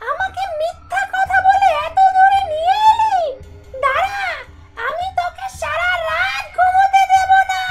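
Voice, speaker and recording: A woman voicing a demanding, menacing female swamp ghost in a folk-tale cartoon, with shrill, drawn-out nagging. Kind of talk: cartoon fairy-tale voice acting.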